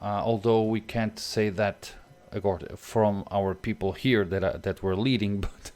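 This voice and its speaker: Slow, low Portuguese man streaming a game. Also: fairly even intonation, darkish tone. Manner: thinks aloud, reads game text, chats with viewers. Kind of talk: live gameplay commentary.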